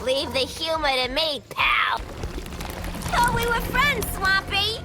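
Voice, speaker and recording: A woman's voice: extremely high pitch, very animated, takes it slowly, full character voice. An actress voicing a playful, bratty young female troublemaker in a perky, cheeky, cartoonish way. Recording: loud game music behind the voice.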